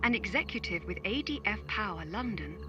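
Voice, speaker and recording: A woman voicing a polite, unflappable phone voice assistant in an audio drama, measured and impersonal, through a small device speaker. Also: mid-range voice, lively intonation, music in the background. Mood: neutral, informative. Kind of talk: audio drama acting, scripted read-out. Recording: dull lo-fi device-speaker sound.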